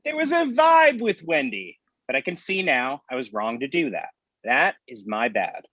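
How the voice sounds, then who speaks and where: A man acting as a breezy, smug thirty-something guy in a comedy read. His voice is husky and swings around in pitch, casual and glib, through a dull lo-fi microphone. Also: sing-song, moderate pace, bright, forceful tone, no echo. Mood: dismissive. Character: glib, breezy thirty-three-year-old man, smug rival friend. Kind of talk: naturalistic comedy table read.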